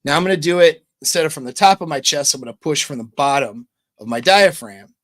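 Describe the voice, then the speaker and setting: A man's voice: brisk, dry recording. Casual man coaching on a dry mic. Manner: demonstrates voice pitch changes, relaxed and chatty. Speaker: dating coach. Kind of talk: casual livestream coaching talk. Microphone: close microphone.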